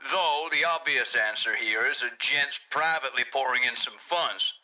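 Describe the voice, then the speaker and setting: A baffled company accountant, in a cartoon horror game. He is uneasy and folksy, his sharp voice coming through dull old tape. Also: mid-range voice, normal speed. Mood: wary. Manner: hesitant, folksy, exasperated. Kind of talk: audio log in a cartoon horror video game.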